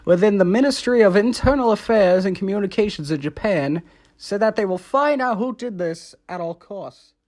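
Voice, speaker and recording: A woman acts as a serious news anchor. The delivery is formal and scripted, with a middle-pitched voice. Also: animated, normal speed, darkish tone, close to an everyday voice.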